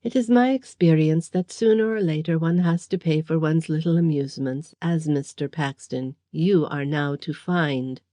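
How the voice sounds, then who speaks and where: A woman's voice: sits low, normal speed, hardly any pauses, dark tone, no echo, studio quality, lightly acted. A woman doing a sneering, sinister foreign villain. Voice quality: sneering, insinuating.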